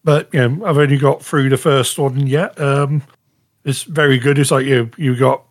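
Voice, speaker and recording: A man chatting casually as a podcast panellist, halting and rambling, often saying "you know". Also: mid-range voice, steady tone. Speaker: podcast panellist reviewing shows. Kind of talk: casual chat.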